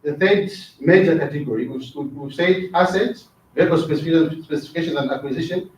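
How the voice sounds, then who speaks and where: A man's voice: on the high side, a little fast, a little mellow, a little hiss or hum. Man lecturing in an echoey room. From Zambia. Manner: asks the class questions, repeats words, drops in "right?" often. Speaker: trainer. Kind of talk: classroom lecture. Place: training classroom. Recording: echoey room, distant mic, dull sound.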